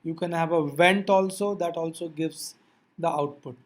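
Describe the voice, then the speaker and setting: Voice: medium pitch, steady tone, moderate pace. A physics teacher giving an explanatory lecture, addressing learners directly with reminders in a didactic way.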